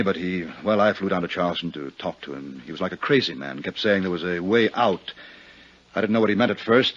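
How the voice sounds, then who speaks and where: A middle-aged American murderer in a 1950s radio drama, lovesick and bitter. The voice is deep and rough, halting yet earnest. The vintage recording sounds muffled. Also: male voice.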